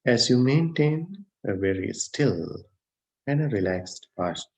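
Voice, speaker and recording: A man leading a guided meditation as a teacher, speaking gently and unhurriedly in short phrases with long pauses. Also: medium pitch, sing-song. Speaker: Buddhist monk, meditation teacher. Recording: dull, muffled lo-fi sound.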